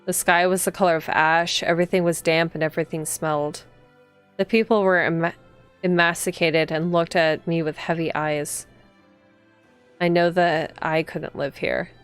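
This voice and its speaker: A woman reading as an earnest, uneasy temple police officer who narrates a visual novel in a measured way. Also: on the low side, steady tone, clean tone, faint music behind, lightly acted. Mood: somber.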